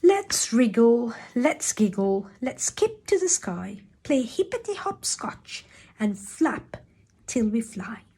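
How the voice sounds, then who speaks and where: An excitable little male puddle creature with a tail and paws chatters in rhymes, his voice pitched high and swooping up and down, as a woman puts him on. The sound is soft and breathy, and he takes his time even while he bounces. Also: slow, full character voice. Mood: gleeful.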